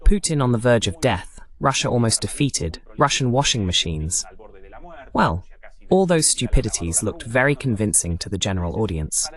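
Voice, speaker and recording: A man's voice: medium pitch, big pitch swings, moderate pace. A man hosting a political commentary broadcast, talking in a sardonic, digressive way. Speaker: host of political analysis broadcast. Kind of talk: political commentary, English voice-over of a live talk.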